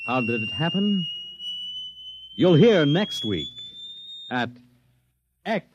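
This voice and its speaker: A man narrating as a 1950s radio announcer in a dramatic, deliberate manner. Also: a little high, big pitch swings, background noise. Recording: dull vintage radio recording with music. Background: music.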